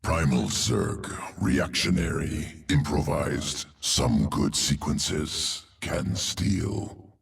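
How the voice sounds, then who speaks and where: Deep, gravelly, clinical alien creature. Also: male voice, animated, very slow, far from the mic, exaggerated voice. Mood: detached appraisal. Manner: clipped fragments, detached, clinical. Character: cold analytical alien creature obsessed with genetic efficiency. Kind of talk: video game creature acting.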